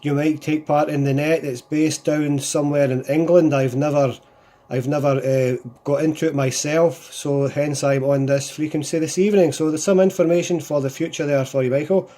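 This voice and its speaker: A Scottish man speaks as a hobby radio operator in a casual contact. His voice sits a little high with a very mellow, soft-edged tone. He repeats phrases and leans on radio procedure words. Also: fairly even intonation, normal speed. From Scotland.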